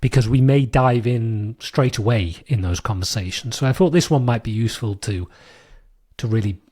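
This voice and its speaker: A man with a British accent hosting his own podcast, explaining a technical topic in a thoughtful, unscripted way with many fillers and restarts, his voice a bit hoarse from a cold. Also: mid-range voice, normal speed, warm tone. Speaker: podcast host. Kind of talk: solo explanatory podcast monologue.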